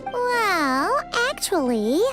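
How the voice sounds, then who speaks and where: A woman voicing a small, chirpy, cheeky fairy companion with a childlike, chatty, expressive manner in a video game. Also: very high-pitched, drawn-out words, over music, full character voice. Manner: chatty, expressive, refers to self by name.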